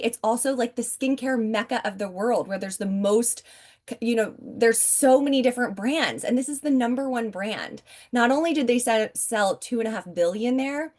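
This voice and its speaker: A woman in her forties giving an upbeat, chatty sales pitch from home over a video call, often addressing her listeners directly. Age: 40s. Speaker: network marketing presenter.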